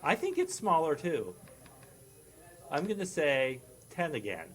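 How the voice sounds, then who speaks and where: A man's voice: fairly high pitch, very animated, unhurried, a bit bright. A man giving casual, joking answers as a quiz contestant. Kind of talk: casual comic banter.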